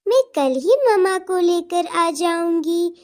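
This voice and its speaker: A woman's voice: very high-pitched, takes it slowly, a little mellow. A woman voicing a caring, cheerful little girl bird in a children's cartoon, with an earnest, childlike delivery. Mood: eager.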